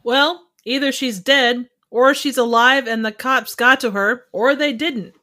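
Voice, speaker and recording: A woman playing a cool, sadistic psychopathic gangster in an audio drama, deadpan and taunting. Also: high-pitched, a little slow, a little hiss or hum. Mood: cold, matter-of-fact. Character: cool, sadistic female psychopathic gangster who enjoys torture.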